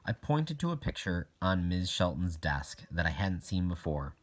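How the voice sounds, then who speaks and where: A man's voice: deep voice, big pitch swings, moderate pace. A man reading a children's novel aloud as a first-person narrator. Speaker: audiobook reader.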